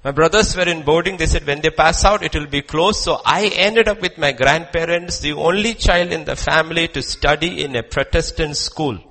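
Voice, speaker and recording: A man from India delivers a sermon in an Indian accent. His voice is sharp, forceful and slightly high for a man. He declaims loudly, repeats himself for emphasis, and pulls back into quieter, confiding asides. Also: normal speed, hardly any pauses, rough recording. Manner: emphatic, rhetorical questions and repetition; swings from loud declamation to hushed asides. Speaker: preacher.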